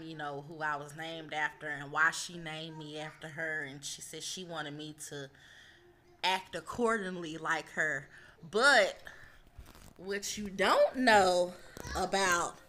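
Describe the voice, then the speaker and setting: A woman's voice: lowish voice, sharp tone, a little hiss or hum. A woman talks casually with family, explaining things conversationally. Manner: conversational, explaining, quoting others' remarks. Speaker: granddaughter recording her grandmother.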